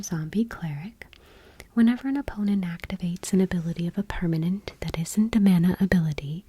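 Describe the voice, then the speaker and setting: Soft, husky whispering woman, ASMR. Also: on the low side, no room sound. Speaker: host of an ASMR channel. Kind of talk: ASMR soft-spoken card reading.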